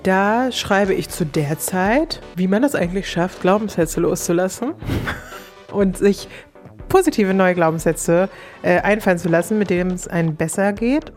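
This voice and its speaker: A woman's voice: medium pitch, animated, normal speed, a little mellow, soft background music. A woman casually recalling her own experience in an interview, colloquial and self-reflective. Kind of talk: casual personal recollection.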